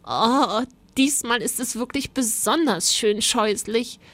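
A woman's voice: on the high side, animated, slow, a bit bright, voice pushed up. A woman voicing a plucky young girl in a children's story, exclaiming and pleading, frightened yet defiant. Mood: thrilled. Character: plucky young girl, high-voiced, easily irritated, frightened but defiant.

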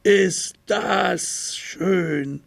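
A man voicing a small, excitable boy tiger in a children's radio story, speaking high and eager. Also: extremely slow, gravelly, no room sound, heavily acted. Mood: excited.

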